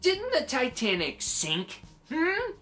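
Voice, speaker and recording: Small nephew, played by a woman, children's story, high-pitched, earnest, questioning, very animated. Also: takes it slowly, faint music behind, heavily acted. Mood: doubtful. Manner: questioning, exclaiming. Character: earnest, curious little nephew, doubtful but eager.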